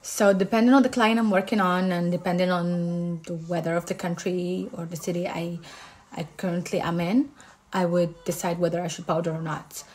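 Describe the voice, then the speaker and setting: A woman's voice: lowish voice, fairly even intonation, moderate pace, a little mellow, low-quality recording. A woman with a Moroccan accent, a makeup artist casually explaining each step of her makeup routine.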